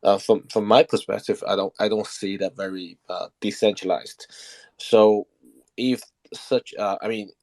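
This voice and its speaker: A man hosting an interview, asking long questions haltingly with many "uh"s and restarts. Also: fairly low pitch, fairly even intonation, darkish tone, no echo. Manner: halting, heavy fillers and restarts. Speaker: interviewer, community host. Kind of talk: asking questions in an online AMA.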